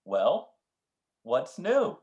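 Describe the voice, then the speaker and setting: A man's voice: a little high, huge pitch swings, drawn-out words, a little mellow, muffled recording, exaggerated voice. A man playing a bewildered, sardonic husband, dry and incredulous, in old-time radio comedy. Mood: breezy.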